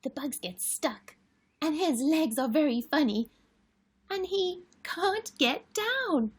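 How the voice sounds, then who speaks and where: Insistent bug-mad little girl, high and pleading, slow and drawn-out; a woman reader voices her. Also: animated. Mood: giggly. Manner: high, pleading, sing-song. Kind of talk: storybook read-aloud.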